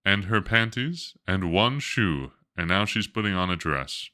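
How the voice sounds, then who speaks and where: A man's voice: deep voice, lively intonation, a little slow, sharp tone, full character voice. A man voicing a weary, worried storybook mother in a plaintive, resigned manner. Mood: sad, weak.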